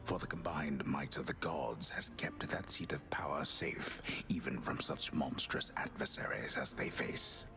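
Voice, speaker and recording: A man voicing a solemn, ancient-sounding storyteller narrating myth in a video game, slowly and breathily. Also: extremely low pitch, vocal fry, pushed and bright, in a whisper, full character voice. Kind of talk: slow scripted game narration.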